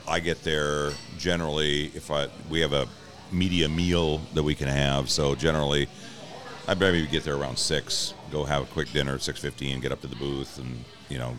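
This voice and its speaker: A middle-aged man, a stadium announcer being interviewed, telling stories in a casual, easygoing, rambling and wry way. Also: rumbling low. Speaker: stadium public address announcer, interview guest. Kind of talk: casual conversational storytelling.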